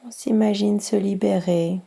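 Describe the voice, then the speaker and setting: A woman guiding a yoga relaxation session as instructor, speaking in a soft, breathy voice with calm, soothing instructions. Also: mid-range voice. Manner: slow, calm, soothing instructions with long pauses. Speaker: yoga nidra instructor and guide. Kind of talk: guided relaxation meditation.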